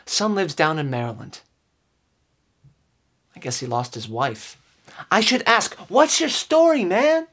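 A man in his twenties giving an earnest, overwrought dramatic reading, with emphatic phrasing and deliberate pauses. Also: high-pitched, very animated, a bit bright, slightly rough. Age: 20s. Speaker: comedic performer. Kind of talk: theatrical dramatic reading of song lyrics. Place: indoors, small room with curtain backdrop.

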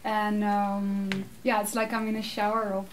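Woman, 30s, native Dutch speaker, animated casual answers, distant mic, light background noise. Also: mid-range voice, normal speed. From Netherlands. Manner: animated, laughs often, talks with her hands. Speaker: speaker answering audience questions. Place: small room with curtained backdrop, seated.

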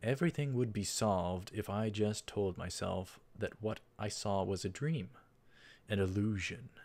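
A young man hosting a let's play, reading visual novel text aloud and adding casual commentary. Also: lowish voice. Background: game music.

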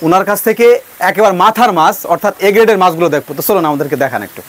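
A man's voice: high voice, lively intonation, fairly bright tone, a little hiss or hum. A man hosting a casual on-site vlog, talking straight to viewers. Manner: brisk, addresses viewers directly. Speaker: video host. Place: outdoor fish hatchery.

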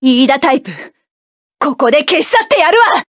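A fierce young mech pilot, barking battle cries and curt orders in a high, bright voice. The sound is dry and close, with no room around it. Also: female voice, sing-song, fairly quick, dry recording. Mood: cool. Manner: forceful battle shouts and clipped commands. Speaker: voice actress. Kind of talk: game voice clips.

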